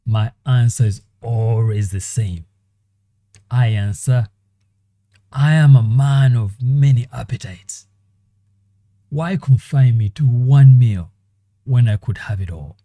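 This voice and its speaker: A man delivers a brooding monologue at a slow, deliberate pace, pausing for effect. His tone is deeply dark and mellow. The recording is dry and close, with no room sound. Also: dry recording. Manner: slow, theatrical delivery with long pauses. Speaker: animator and voice actor. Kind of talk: dramatic monologue in five voice takes.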